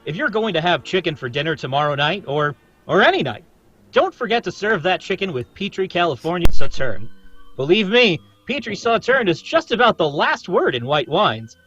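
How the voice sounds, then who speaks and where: A chummy old-time radio pitchman, played by a man, high in pitch and full of swoops. He sells in a folksy, confiding way, through a slightly muffled mic. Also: sing-song, normal speed, bright, forceful tone, faint music behind, full character voice. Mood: chummy, persuasive. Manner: folksy, salesmanlike. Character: chummy, eager radio announcer pitching wine. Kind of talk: 1930s-40s radio announcer reading.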